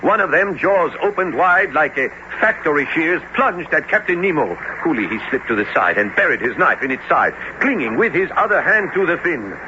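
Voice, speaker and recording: An actor playing a learned, sober doctor and professor who recounts a sea voyage in formal, measured radio drama style. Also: male voice, a little high, very animated. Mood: urgent, dramatic. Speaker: narrator and character. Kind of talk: dramatic radio narration and acting. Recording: noisy, dull old radio drama recording.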